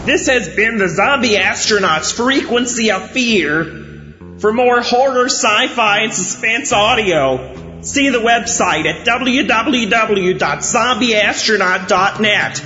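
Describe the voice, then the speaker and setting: An actor playing a dramatic horror show announcer giving a scripted sign-off. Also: male voice, high-pitched, takes it slowly, bright, forceful tone, soft background music, full character voice. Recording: echoey long reverb, dull audio.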